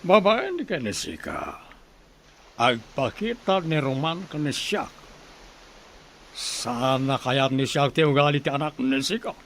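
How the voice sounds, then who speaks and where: An actor playing a domineering, threatening wealthy master in a radio drama, with a Filipino accent. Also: male voice, mid-range voice, big pitch swings, a little slow, vocal fry, pauses often, fairly bright tone, a little hiss or hum, full character voice. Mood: menacing, imperious.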